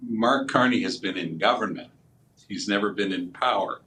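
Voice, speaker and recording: A man's voice: fairly low pitch, moderate pace, bright, forceful tone, rough recording. A man giving opinionated political commentary as a panelist, speaking emphatically and with assurance.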